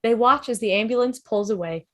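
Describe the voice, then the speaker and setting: A woman's voice: a little high, fairly quick. A woman reading stage directions as scripted narration in a fan table read.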